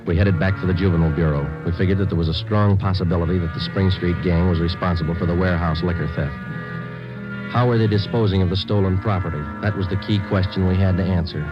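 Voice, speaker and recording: An actor playing a terse, businesslike American police sergeant in a 1950s radio crime drama, clipped, polite and deadpan. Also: male voice, deep voice, fast talker, lightly acted. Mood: deadpan, factual. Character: terse, businesslike police detective sergeant, narrating his own case. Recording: dull vintage radio recording, music behind.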